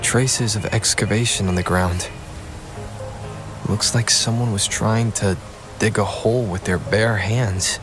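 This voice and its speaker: An actor voicing a calm, observant male explorer in a game, measured and matter-of-fact. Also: lowish voice, over music, natural voice. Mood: serious, puzzled. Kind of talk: game voice acting.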